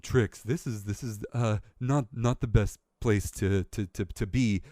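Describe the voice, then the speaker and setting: A man's voice: on the low side, unhurried, warm tone, dry recording, clean studio sound, lightly acted. A man voicing a nervous male stuffed hippo shopkeeper who stammers. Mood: anxious. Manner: stammering, jittery.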